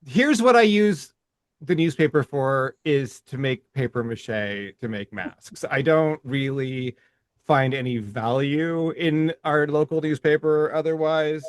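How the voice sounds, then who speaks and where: A man's voice: a little high, slow, dry recording. A man co-hosting a podcast in casual conversation who laughs often and stammers over his restarts. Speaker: podcast co-host.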